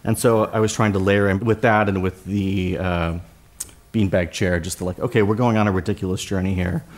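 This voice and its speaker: A man's voice: sits low, steady tone, a little fast. A man talking analytically as a playwright in a live discussion, choosing his words in an intellectual way. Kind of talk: analytical talk on stage. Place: live theatre in front of an audience.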